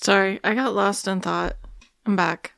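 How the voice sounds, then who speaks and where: A woman's voice: mid-range voice, fairly even intonation, measured pace, gravelly, very noisy. Chatty woman hosting a true crime podcast. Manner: chatty, self-deprecating, laughs easily. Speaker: podcast co-host.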